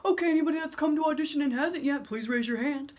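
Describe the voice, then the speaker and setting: A woman's voice: sits high, fast, a little mellow, voice pushed up, close to an everyday voice. A woman doing a stern middle school teacher. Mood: addressing class.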